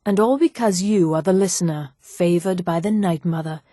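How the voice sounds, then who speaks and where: A woman as poised, coolly menacing elven assassin, low-voiced. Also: mellow, no echo, studio quality, close to an everyday voice. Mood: reverent envy. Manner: formal, measured, deliberate diction. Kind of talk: scripted fantasy video game dialogue.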